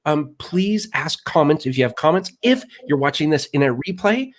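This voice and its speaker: Excitable man hosting an interview. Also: fairly high pitch, moderate pace. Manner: excitable, rambling with restarts. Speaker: podcast host.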